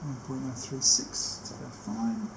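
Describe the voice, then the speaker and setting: A man in his sixties giving an unscripted hands-on demonstration, explaining step by step and pausing as he works, picked up by a camera mic in a small workshop. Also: mid-range voice, little pitch movement. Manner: step-by-step explaining, often pausing mid-task. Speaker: technician demonstrating a tape machine setup. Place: small cluttered indoor workshop. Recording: echoey room, faint background noise.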